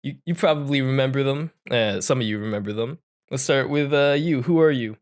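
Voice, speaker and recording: A man hosting a podcast in casual, unscripted chat, with a jokey, halting manner that often interrupts itself. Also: mid-range voice. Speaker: podcast host.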